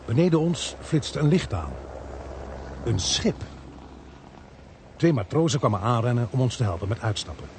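An actor playing an earnest male narrator-protagonist, telling a suspense story in a measured radio drama style. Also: mid-range voice, expressive, a little mellow, slight background noise, natural voice. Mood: suspenseful narrating. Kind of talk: dramatic first-person radio play narration.

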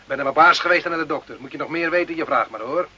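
An actor playing a cheeky, hot-tempered working-class smuggler in a radio play, mouthy and mocking. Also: male voice, fairly high pitch, rapid-fire, pushed and bright, breathy edge, exaggerated voice. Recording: muffled, hissy old radio play.

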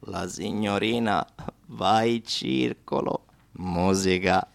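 A man's voice: on the low side, takes it slowly, vocal fry, exaggerated voice. A man playing a crude, menacing street harasser in a radio play, taunting and jeering with a Sicilian accent. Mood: mocking, leering.

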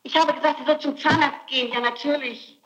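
A woman's voice: high-pitched, steady tone, a little fast, fairly bright tone, reverberant, muffled recording. A woman being questioned as a suspect, answering with insistent, pleading and emphatic denials on an archival interrogation tape. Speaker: suspect under police interrogation.

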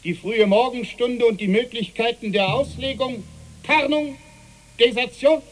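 A mocking officer barks sharp questions in a high-pitched voice. The radio-play voice rings in a long reverb. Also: male voice, a little slow. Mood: mocking, accusing. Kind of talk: literary radio play acting. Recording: long echoing hall reverb.

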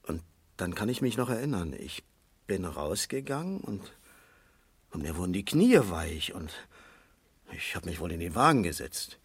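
An actor playing a shaken suspect who haltingly denies his guilt in a 1970s German radio crime drama. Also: low voice, sing-song, breathy edge, dry recording, exaggerated voice. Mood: dazed, shaken. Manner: halting, bewildered, repeating himself. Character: shaken, remorseful male drunk-driving suspect denying his guilt.

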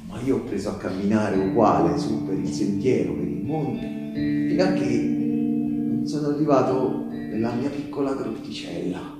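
In a very echoey space, over clear guitar music, an actor plays a wistful wartime partisan man with a lowish voice. He tells his memories as an intimate stage monologue, halting and confiding, lingering on phrases and repeating himself. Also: close to an everyday voice. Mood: nostalgic, hushed. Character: wistful male Italian Resistance partisan recalling the war. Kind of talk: theatrical narrative monologue, intimate and colloquial. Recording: very echoey, music playing behind the voice. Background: live guitar music.

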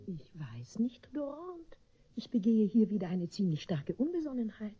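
An actress playing a hesitant, demure widowed marquise, languid and genteel, in a radio comedy. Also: female voice, mid-range voice, sing-song, normal speed, breathy edge. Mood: uneasy, coy. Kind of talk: German radio play comedy acting. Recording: dull, lo-fi old radio recording.

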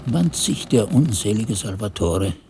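An actor playing a monk narrator who recounts his memories in measured, literary, suspenseful radio drama narration. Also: fairly low pitch, big pitch swings, dark tone, a little hiss or hum. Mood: grave, suspenseful.

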